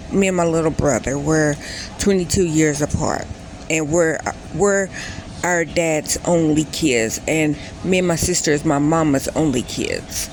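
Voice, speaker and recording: A woman's voice: sits low, a little mellow, slight background noise, low-quality recording. A woman in her late thirties telling a personal story in a casual vlog, rambling and often stopping to correct herself. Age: 30s. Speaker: vlogger.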